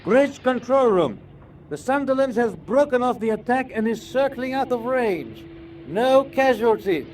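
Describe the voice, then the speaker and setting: A wry U-boat first officer in a radio play. The voice is strikingly high for a man, dry and measured. Engines and open-air rumble sound behind it. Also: expressive, darkish tone. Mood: reporting. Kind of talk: wartime radio drama acting. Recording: clear engine and outdoor noise behind.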